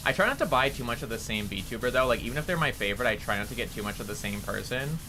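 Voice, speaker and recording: A man's voice: medium pitch, animated, fast, noisy background. A young man with a nasally voice, rambling casually to his chat as a streamer while playing a game. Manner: rambling, chatty, answering chat.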